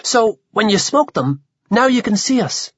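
A man voicing the mournful, insistent ghost of a murdered boy in an audiobook, with a breathy voice. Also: sits high, sing-song, a little slow, no echo, highs cut off, voice pushed up, heavily acted. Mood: eerie, explaining.

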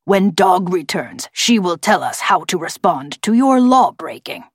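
A woman voicing a proud, distrustful male talking raven in an audiobook, with a forced gravelly voice and a formal, accusing way of speaking. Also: fairly low pitch, big pitch swings, a little slow, no echo, clean studio sound, exaggerated voice. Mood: lecturing, stern. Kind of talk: audiobook character voice.

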